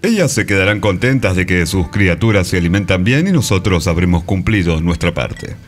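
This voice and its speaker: Male, slightly low, animated pitch; confident, wry guide played by an actor in comic radio theatre. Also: normal speed, faint music behind. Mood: assured, wry. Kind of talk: comic radio play dialogue.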